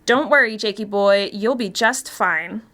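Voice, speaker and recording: A woman's voice: mid-range voice, a little slow. Actress as a bold, mocking ringleader woman. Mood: mocking, menacing. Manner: mocking, yelling taunts. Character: bold, taunting ringleader woman, drunk on menace. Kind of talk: acted dialogue in mystery story reading.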